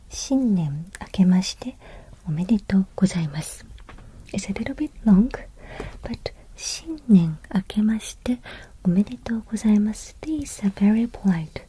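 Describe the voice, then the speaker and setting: A woman with a Japanese accent giving a soft-spoken language lesson in a breathy whisper, in short, simple, gentle phrases. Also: mid-range voice, animated, a little slow, poor audio.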